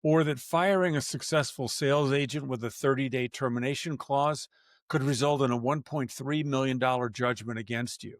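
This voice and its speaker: A man hosting a podcast interview at a middle pitch. He rambles and restarts his sentences as he talks. The sound is dry and close, with no room echo. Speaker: podcast host.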